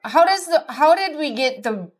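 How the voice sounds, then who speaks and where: A woman's voice: sits high, animated, moderate pace. Chatty American woman hosting a podcast. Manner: informal, reactive, sweary. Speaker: podcast host. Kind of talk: casual chat interview.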